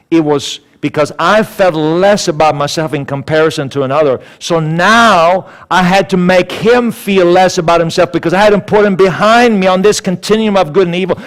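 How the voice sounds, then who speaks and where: Swedish-accented male speaker, slightly high-pitched, lively storyteller in a sermon. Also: moderate pace. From Sweden. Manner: animated, acts out dialogue, repeats words.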